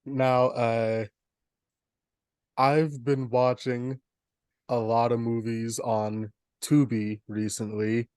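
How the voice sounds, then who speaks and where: A man's voice: mid-range voice, little pitch movement, extremely slow, long pauses, a little mellow, clean tone, no room sound, studio quality. A young man co-hosting a podcast, telling stories in casual, humorous chat. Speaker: podcast co-host.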